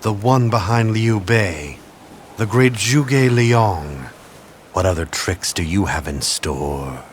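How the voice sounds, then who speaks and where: A man playing a shrewd, haughty military strategist of ancient China in a video game cutscene, speaking in a measured, condescending, theatrical way. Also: lowish voice, lively intonation, a little hiss or hum. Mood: coolly calculating. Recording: loud music under the voice. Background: game music.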